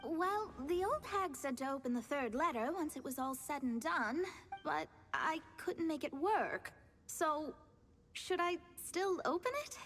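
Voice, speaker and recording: A woman's voice: sits high, animated, unhurried, a bit bright. A woman voicing a proud, irritable young astrologer mage in a video game, with a haughty, theatrical delivery. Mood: reluctant, confident. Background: game music.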